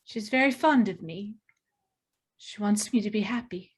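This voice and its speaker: A woman's voice: medium pitch, measured pace, husky. A woman playing a shy, nervous niece, hesitant and earnest, in a screenplay table read. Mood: pleading.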